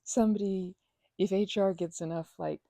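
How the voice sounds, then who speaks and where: A woman playing a chatty, supportive, slightly weary housemate in an intimate ASMR roleplay, rambling and hesitant in a soft, playful, conversational way. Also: medium pitch, fairly even intonation, moderate pace, creaky, no echo, studio quality, natural voice. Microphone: close microphone, dry.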